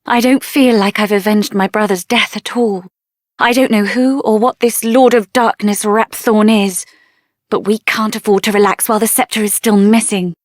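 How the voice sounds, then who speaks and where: A woman voicing a spirited, headstrong young heroine in a fantasy video game, speaking in an earnest, expressive, plain-spoken way. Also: medium pitch, moderate pace, no room sound, clean studio sound, close to an everyday voice. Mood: somber, resolute. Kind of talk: video game character voice acting.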